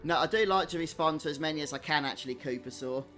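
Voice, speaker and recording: Male game streamer, slightly high voice, conversational and casual, talking to viewers over soft game music. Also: fast talker. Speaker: gaming streamer and host. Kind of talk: casual live stream chat over gameplay. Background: video game music.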